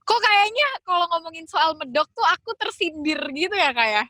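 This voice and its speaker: High bright young woman from Java. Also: sing-song, no echo, clean studio sound. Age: young adult. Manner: agreeable, quick to chime in. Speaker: podcast co-host. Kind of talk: casual chat.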